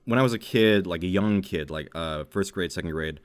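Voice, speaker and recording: A man with a fairly low voice hosts a casual podcast. He talks in a loose, informal, conversational way. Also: a little fast. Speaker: podcast host. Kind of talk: casual chat.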